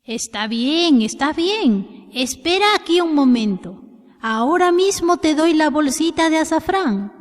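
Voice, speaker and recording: A woman voicing a puzzled, kindly male village shopkeeper in a children's story, gentle and wondering. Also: high voice, animated, a little slow, voice pushed up. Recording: long reverberant echo.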